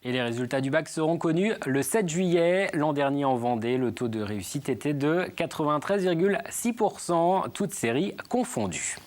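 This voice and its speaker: A man reads a regional television news bulletin. His delivery is steady and measured, and his voice sits a little high for a man. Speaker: news anchor.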